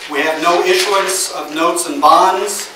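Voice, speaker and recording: A man's voice: high voice, steady tone. Man chairing a meeting in an echoey chamber. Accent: American Midwest. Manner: measured procedural delivery with fillers. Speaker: mayor. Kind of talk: chairing a city council meeting. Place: council chamber. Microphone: far from the mic. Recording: roomy, distant, noisy chamber audio.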